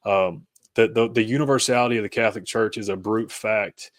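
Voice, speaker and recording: Low-voiced man explaining, close and dry. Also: steady tone, a little fast, dry recording. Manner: hesitant, rambling, peppered with "you know" and "kinda". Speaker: podcast guest, author. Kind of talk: conversational interview, explaining personal faith journey.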